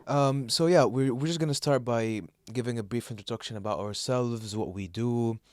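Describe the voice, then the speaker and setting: A man's voice: mid-range voice, normal speed, no room sound, studio quality. A young man from Saudi Arabia co-hosting a podcast, chatting casually and telling stories in a hesitant, rambling way. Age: young adult. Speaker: podcast co-host. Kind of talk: casual chat, telling personal anecdotes.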